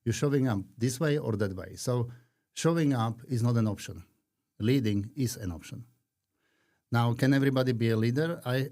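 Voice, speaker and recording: A man in his fifties from Slovakia with a Slovak accent, talking earnestly and reflectively as a podcast guest, with frequent pauses. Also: mid-range voice, darkish tone. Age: 50s. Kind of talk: conversational interview.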